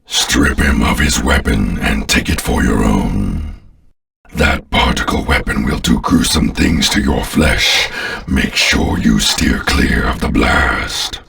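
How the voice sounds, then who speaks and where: Abyssally deep, gravelly alien overlord. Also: male voice, expressive, a bit bright, recorded from a distance, heavily acted. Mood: commanding. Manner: slow, grandiose, imperious. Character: ancient alien overlord villain, commanding, contemptuous, menacing. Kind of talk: theatrical video game villain acting.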